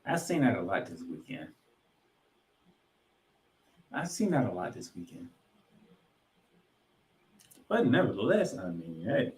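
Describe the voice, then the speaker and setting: A man's voice: fairly low pitch, sing-song, measured pace, long pauses, darkish tone. Echoey man recapping sports emphatically. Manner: emphatic delivery, repeating points for effect. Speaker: sports podcast host. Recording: roomy, echoing room sound.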